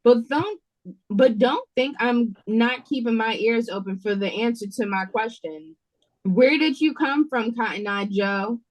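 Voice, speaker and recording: Bossy, higher-voiced waitress played by a woman. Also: measured pace, rough recording. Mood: impatient, probing. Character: bossy, impatient, sarcastic restaurant waitress. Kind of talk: audio play read-through. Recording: video call recording.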